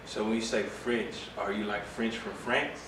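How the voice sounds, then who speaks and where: A man with a low, flat voice asks brief, curious interview questions. He is heard from some distance in a roomy space, with clear background noise around him. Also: moderate pace.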